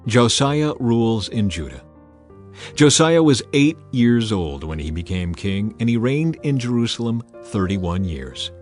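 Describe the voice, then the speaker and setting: Solemn man reading scripture. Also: on the low side. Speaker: narrator. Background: soft music.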